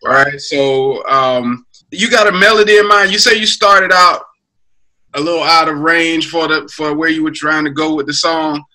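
Bright-voiced producer on a video call. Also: male voice, on the high side, normal speed, low-quality recording. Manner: probing questions, brainstorming aloud. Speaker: music producer. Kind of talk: casual coaching on a video call. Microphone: webcam on a video call.

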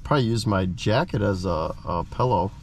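A man's voice: lowish voice, expressive, normal speed. A man hosting a casual camping vlog, chatting informally and using slang, recorded in and around a parked car. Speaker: vlog host. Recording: clear background car noise.

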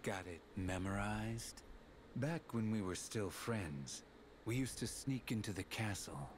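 An actor playing a cold, aloof young villain in a game drama, measured and stern. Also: male voice, lowish voice, expressive, slight background noise. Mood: cool, needling. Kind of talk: dramatic video game voice acting.

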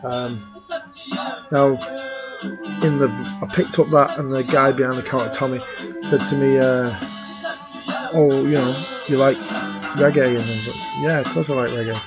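A man in his thirties, a little hoarse, talks casually into a webcam in a small room at home. He rambles and fills his pauses with ums and uhs. Music plays clearly behind him the whole time. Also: medium pitch, fairly even intonation, rough recording. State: slightly hoarse. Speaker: vinyl collector vlogger. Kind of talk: casual chat. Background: music playing.

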